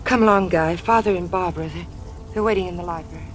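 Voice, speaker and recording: A woman's voice: fairly low pitch, fast, lightly acted. A woman plays an anxious, devoted sweetheart in a vintage radio play. She speaks tenderly over prominent music. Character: tender, trembling, anxious young woman in love. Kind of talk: 1950s radio drama acting.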